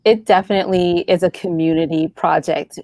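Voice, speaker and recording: A woman's voice: lowish voice, fairly even intonation, fairly quick, dark tone, no room sound. A woman chatting casually as a guest on a live podcast. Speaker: podcast guest, audio drama writer and producer. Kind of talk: casual chat.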